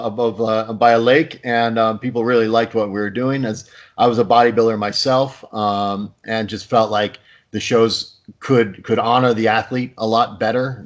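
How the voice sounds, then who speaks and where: A man's voice: medium pitch, dull audio. A man, a podcast guest, talking enthusiastically in a loose, rambling way. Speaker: guest, show promoter. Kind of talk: casual interview talk.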